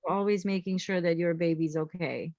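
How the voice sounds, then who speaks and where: Halting woman, dry sound. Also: lowish voice, steady tone, darkish tone, no echo. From Croatia. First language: Croatian. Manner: halting, many fillers and restarts, laughs easily. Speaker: interview guest, entrepreneur. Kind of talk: casual interview chat.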